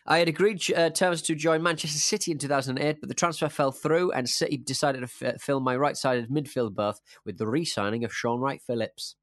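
A man's voice: mid-range voice, brisk, hardly any pauses, fairly bright tone, no room sound, studio quality. A man hosting a casual football podcast, playfully reading out quiz clues in a jokey manner. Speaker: podcast host and quizmaster.

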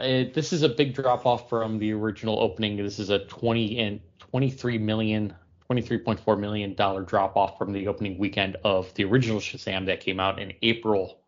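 A man's voice: lowish voice, lo-fi sound. A man co-hosting a podcast, talking casually. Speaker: podcast co-host. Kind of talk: casual chat, reading out figures.

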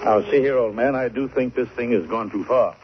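An actor playing an agitated, proper doctor with a troubled conscience in 1940s radio mystery style. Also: male voice, mid-range voice, brisk, over loud music, lightly acted. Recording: muffled, noisy old radio recording.

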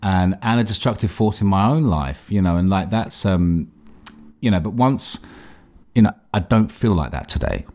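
A man with a very deep, low voice speaks openly about his own life. His delivery is casual and halting, informal enough to swear in passing. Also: animated, a little mellow. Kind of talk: candid, casual personal conversation.

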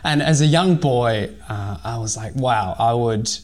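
A man's voice: medium pitch, measured pace, darkish tone. A man from Hong Kong in his thirties, a physiotherapist and pilot telling his story in a casual podcast interview, full of ums and restarts. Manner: rambling, many fillers and restarts.

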